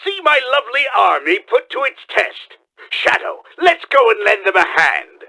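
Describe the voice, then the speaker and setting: A man voicing a pompous, boastful mad scientist villain in a video game, with a gravelly, forceful voice and theatrical, commanding delivery. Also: high voice, very animated, moderate pace, full character voice. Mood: haughty, commenting. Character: boastful, scheming middle-aged male mad scientist villain, bombastic.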